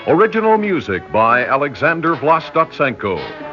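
A man's voice: fairly high pitch, huge pitch swings, normal speed. A man with an American accent reading scripted credits as a formal 1960s network radio announcer. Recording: dull vintage radio recording, orchestral music behind.

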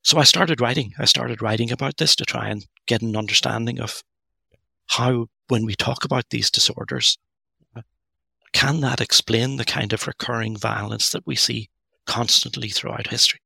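A middle-aged man from Ireland, speaking as a guest author in a podcast interview, thoughtful and halting with frequent restarts. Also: mid-range voice, vocal fry, sharp tone, husky, no room sound. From Northern Ireland.

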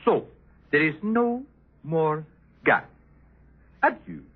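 An actor playing a sly, haughty French race driver with a French accent and theatrical, deliberate delivery in a 1950s radio drama. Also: male voice, on the high side, expressive, exaggerated voice. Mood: sly, smug. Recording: muffled, rough 1950s radio recording.

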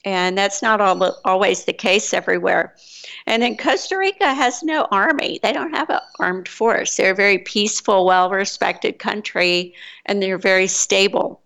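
A woman's voice: medium pitch, normal speed, gravelly, a bit bright. A woman with a Texas accent, an American living abroad, chatting casually in a rambling way with fillers and restarts. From United States. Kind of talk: casual chat.

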